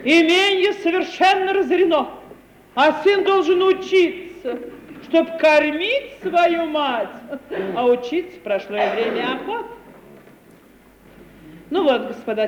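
An actress playing a sanctimonious, self-pitying middle-aged widowed landowner in an affected, theatrical radio comedy manner. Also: female voice, sits high, expressive, highs cut off. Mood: pleading, self-righteous. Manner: theatrical, affected, drawn-out self-justifying speech. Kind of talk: 1950 Russian stage-comedy radio acting. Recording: echoey hall, noisy 1950 radio recording.

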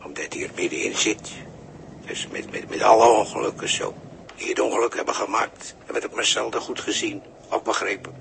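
A man's voice: lowish voice, brisk, creaky, a bit bright. A man acting a cryptic, mocking sailor who preaches philosophy, in a 1960s radio drama. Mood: taunting, preaching. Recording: noisy 1960s radio recording.

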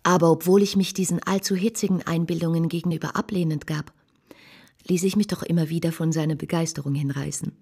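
An actress playing a reflective, idealistic woman of 19th-century Paris, narrating her memories in a radio drama with a husky voice. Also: low voice, fairly even intonation, quick pace, warm tone. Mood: wistful, reflective.

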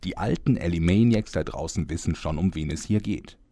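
A man's voice: low voice, fairly quick, warm tone, no room sound, clean studio sound. A man playing a pompous, grumpy old-timer narrator in a mock-epic audio play, given to chatty asides. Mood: flustered, indignant. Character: grand, grumpy old-timer male narrator of heroic tales.

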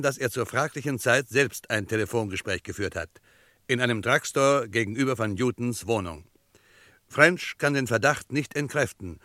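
A man's voice: mid-range voice, sharp tone, dry recording, studio quality. A man narrating a 1960s radio play recap in a formal, precise, scripted manner.

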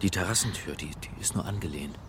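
An actor playing a harried, wry lecturer on the run in a radio crime play, dry in tone and prone to stammering when flustered. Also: male voice, low-pitched, fast talker, noisy background. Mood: nervous, hushed. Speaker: first-person narrator and lead in a radio crime play. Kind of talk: German radio drama acting and narration.

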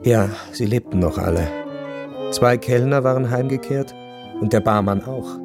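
An actor playing a melancholy, estranged husband home from war, narrating a radio drama in a measured, reflective, wry way. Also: male voice, medium pitch, over music, lightly acted.

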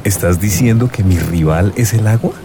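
An actor playing a smitten, bluff suitor in a radio drama, casual and incredulous in manner. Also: male voice, fairly low pitch, normal speed, noisy background, natural voice. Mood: skeptical, amused. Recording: music and street sounds behind. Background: music, vehicle soundscape.

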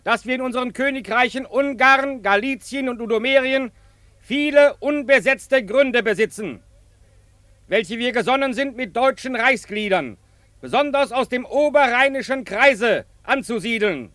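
A man's voice: very high voice, moderate pace, pushed and bright, no echo. A man playing a formal, pompous emperor who declaims a royal proclamation in a radio play. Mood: solemn, pompous.

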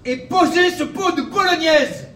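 A man's voice: very high voice, a little slow, fairly bright tone, recorded from a distance. An actor playing a sardonic, exasperated male police inspector who swears freely, in a comic audio drama. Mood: stern, exasperated. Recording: roomy, off-mic, noisy action-scene sound.

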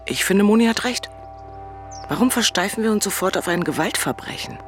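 An actress playing a cool-headed, scheming woman with a brisk, controlled manner in a radio play. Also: mid-range voice, lively intonation, close to an everyday voice. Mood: calculating. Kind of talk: German radio play acting. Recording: music behind.

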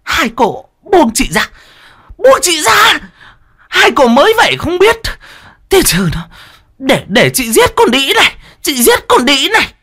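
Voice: high voice, sing-song, measured pace, pushed and bright, pitched above the performer's own voice, heavily acted. A narrator with a Northern Vietnamese accent voicing a furious, jealous first wife who shrieks and hisses her scolding. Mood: screaming rage.

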